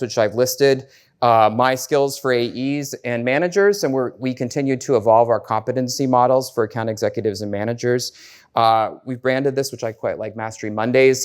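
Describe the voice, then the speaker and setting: A man's voice: mid-range voice, clear, resonant tone. A man with an American accent giving a casual, upbeat presentation to a conference audience, with frequent fillers and restarts. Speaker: conference speaker. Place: conference room before an audience.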